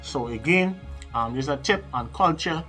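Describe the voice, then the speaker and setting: A middle-aged man talks straight into the camera from a small room at home, with a bright, forceful tone. His delivery is emphatic and preachy, full of repetitions and direct appeals to the viewer. Faint music sits quietly under his voice. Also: a little high, lively intonation, moderate pace. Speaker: psychic advisor and channel host. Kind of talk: casual advice monologue to camera.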